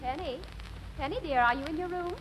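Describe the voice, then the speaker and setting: An actress playing a gentle, unruffled mother of a teenager in an old radio comedy. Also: high voice, brisk, loud music behind the voice, lightly acted. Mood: mild, unruffled. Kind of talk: 1940s radio comedy acting. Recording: dull old radio broadcast recording.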